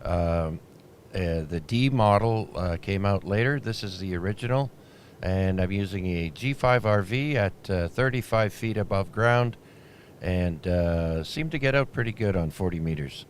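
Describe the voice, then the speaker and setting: A man acting as net controller on an amateur radio net, talking in a relaxed, halting way with many fillers. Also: deep voice. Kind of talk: ham radio net chatter. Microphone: radio transceiver mic. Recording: noisy shortwave radio audio.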